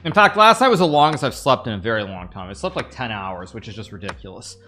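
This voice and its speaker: A man's voice: medium pitch, animated, quick pace, bright, forceful tone, soft background music. An American man in his thirties, streaming a chess game, thinking aloud and answering chat questions in a casual, rambling way. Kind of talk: casual live-stream commentary.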